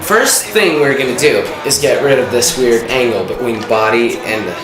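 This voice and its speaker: Man with a Russian accent, hesitant and full of fillers, walking through a guitar build; echoey room, loud music under him. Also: mid-range voice, normal speed. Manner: hesitant, many fillers and restarts. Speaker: guitar design contest entrant. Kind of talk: casual explanation of a guitar design.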